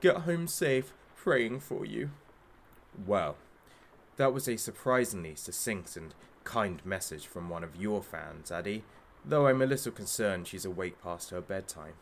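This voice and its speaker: A man's voice: on the low side, a little slow, creaky. An actor playing a masked hostage-taker who runs a talk show, gleefully cruel, sarcastic and showman-like, in an audio drama. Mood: mocking showmanship.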